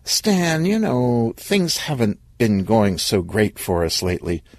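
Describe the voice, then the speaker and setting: Man voicing a frank young woman, pitched up. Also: sing-song, unhurried, no room sound, clean studio sound. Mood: reluctant, apologetic.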